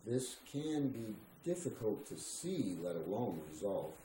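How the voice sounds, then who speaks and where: Male reader for spiritual text, slow and meditative delivery, roomy sound with slight background noise. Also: on the low side, big pitch swings, warm tone. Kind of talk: slow meditative scripted reading.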